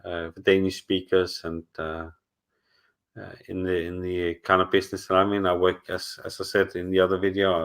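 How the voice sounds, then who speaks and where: A man's voice: fairly low pitch, fairly bright tone. A middle-aged man chatting casually at home on a live stream, answering viewers and talking in a hesitant, rambling way with lots of fillers and restarts. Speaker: channel host answering live chat.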